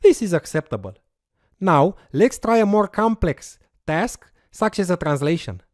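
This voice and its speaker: An instructor explains step by step, pitch rising and falling a lot. The voice is dry and close, with no room sound. Now and then there is a short "uh" while the instructor works through each step. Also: sing-song, measured pace, a little mellow, dry recording. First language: Romanian. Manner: explanatory, walks through steps with occasional fillers. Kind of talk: recorded course lecture with screen demo.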